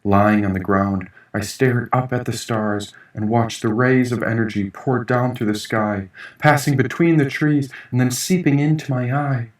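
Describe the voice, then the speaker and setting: A man reading first-person fiction as an audiobook narrator, in an expressive, dramatic storytelling manner. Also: mid-range voice, a little slow, few pauses. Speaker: author reading own audiobook. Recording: echoey room, distant mic.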